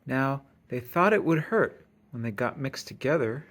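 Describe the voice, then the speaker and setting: Male storybook reader, mid-range voice, expressive sing-song delivery for young listeners. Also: medium pitch, moderate pace. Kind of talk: expressive children's read-aloud narration.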